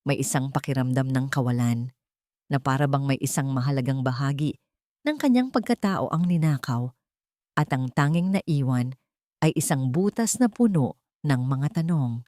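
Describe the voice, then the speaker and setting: A woman narrates a scripted romantic drama in a low register. Her delivery is measured and dramatic, like an audio storybook. The sound is dry, with little room around it. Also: lively intonation, mellow, dry recording.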